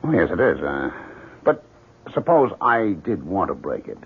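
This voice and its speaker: An actor playing a preoccupied, stubbornly fixated husband in a 1970s radio drama, halting and evasive. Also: male voice, deep voice, very animated, gravelly, husky, full character voice. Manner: distracted, halting, intent. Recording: muffled old radio broadcast.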